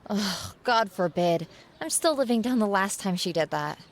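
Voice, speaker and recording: A woman's voice: mid-range voice, expressive, normal speed, natural voice. A woman playing an unsure, self-doubting seventeen-year-old girl art student in an audio drama, with a youthful, hesitant manner. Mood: dejected.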